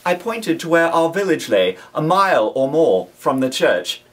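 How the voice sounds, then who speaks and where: Man, literary narrator, fairly high pitch, very lively intonation, dramatic, deliberate phrasing. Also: far from the mic. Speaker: reader. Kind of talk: dramatic literary reading, first-person narration.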